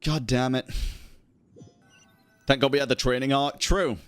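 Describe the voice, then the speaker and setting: A male streamer gives casual, jokey reactions to a game in a mid-pitched voice. He is loose and sweary, with faint music underneath. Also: fairly even intonation, unhurried, fairly bright tone, clean tone, no room sound.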